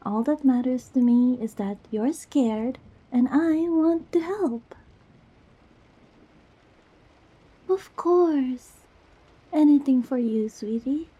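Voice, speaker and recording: A woman playing a goofy, affectionate young girlfriend in an ASMR roleplay, talking tenderly and playfully to comfort a scared partner in a soft, breathy voice. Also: high-pitched, expressive, slow pace, muffled recording, heavily acted. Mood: tender, reassuring. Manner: playful, rambling, tender, reassuring. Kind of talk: ASMR girlfriend roleplay, intimate one-sided dialogue.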